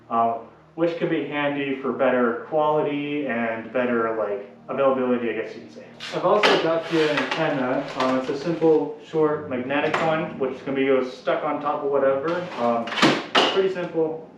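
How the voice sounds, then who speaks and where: A man giving a casual, unscripted how-to explanation as a vlogger, in a loose, informal and slightly hesitant way. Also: a little high. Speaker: vlogger presenting a DIY tutorial. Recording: very echoey, distant mic, faint music.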